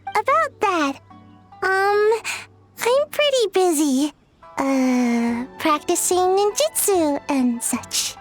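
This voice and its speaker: A woman's voice: very high-pitched, big pitch swings, slow, soft background music, full character voice. A woman voicing a sleepy, reluctant little ninja girl in a video game, hesitant and mumbling. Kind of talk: scripted video game dialogue.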